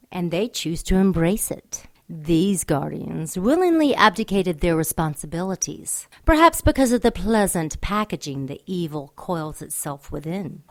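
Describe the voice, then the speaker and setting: Solemn, lowish-voiced narrator. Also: female voice, a little mellow. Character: solemn female narrator pondering guardians and evil. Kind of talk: scripted dramatic narration.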